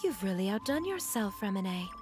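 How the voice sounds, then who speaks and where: A woman playing a gentle, polite young mother, earnest and measured, in a video game cutscene. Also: medium pitch, big pitch swings, loud music behind the voice, close to an everyday voice. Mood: polite, composed.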